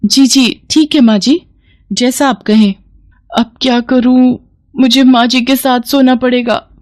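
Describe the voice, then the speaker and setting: A woman voicing a meek, anxious young daughter-in-law in an animated moral story, timid and earnest. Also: high-pitched, unhurried, pitched above the performer's own voice.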